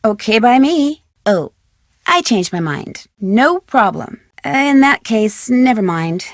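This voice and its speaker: A woman playing a polite, curious young American detective in a video game, speaking short scripted lines in a clear, upbeat way. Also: a little high, big pitch swings, slow pace, dry recording, clean studio sound. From United States. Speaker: video game character voice actor.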